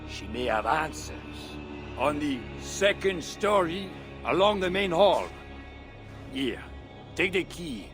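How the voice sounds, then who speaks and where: Drunken priest, slow and swooping. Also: male voice, a little high, very animated, music in the background. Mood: resigned. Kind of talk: dramatic video game acting.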